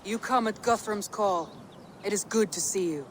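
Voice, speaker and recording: An actress playing a serious, assured Viking war leader in a video game, with a formal, matter-of-fact manner. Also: female voice, mid-range voice, normal speed, a little hiss or hum, natural voice. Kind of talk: video game dialogue acting.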